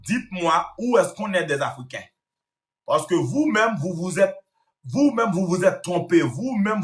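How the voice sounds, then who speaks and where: Haitian man ranting, bright echoey voice. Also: fairly high pitch. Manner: scolding, repetitive, addresses the audience directly. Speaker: online commentator. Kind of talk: ranting monologue to viewers.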